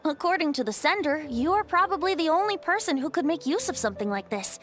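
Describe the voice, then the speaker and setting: A woman's voice: high voice, animated, quick pace, a bit bright, soft background music. A woman voicing a playful, boyish young male bard in a video game, light and youthful, with a theatrical, teasing lilt. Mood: gently earnest.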